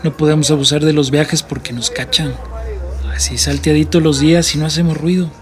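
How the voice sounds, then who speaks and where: A somewhat high male voice with a Mexican accent. He is an actor as a shady border smuggler in a radio drama, cocky, brusque and full of slang. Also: little pitch movement, fairly quick, close to an everyday voice. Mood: bossy, sly. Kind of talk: radio play acting.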